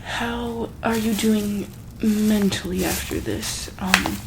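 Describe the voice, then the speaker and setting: A woman speaks softly and very slowly, with plenty of fry in her voice. She plays a medical examiner in an ASMR roleplay, hesitating, repeating herself and slipping into whispers, over clearly audible background noise. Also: medium pitch, steady tone. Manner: slow, hesitant, repeats questions gently.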